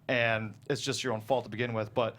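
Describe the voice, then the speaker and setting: A man's voice: mid-range voice, little pitch movement, brisk, fairly bright tone. A man talking casually as a guest screenwriter about his own writing, in a hesitant, rambling way, often chuckling mid-sentence.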